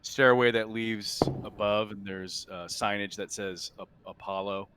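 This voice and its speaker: A man's voice: on the low side, steady tone, unhurried, background noise. A man narrating a tabletop roleplaying game as game master, improvising the scene.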